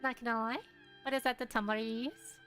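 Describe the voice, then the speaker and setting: Bright-voiced young woman streaming a game. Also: a little high, fairly even intonation, a little fast. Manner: informal, reactive. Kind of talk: casual playthrough commentary, chatty reactions. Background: game music.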